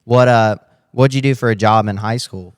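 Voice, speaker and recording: A man's voice: lowish voice, fairly even intonation, normal speed, no echo, clean studio sound. A man hosting a casual racing podcast interview, rambling and restarting his questions as he goes. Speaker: podcast host.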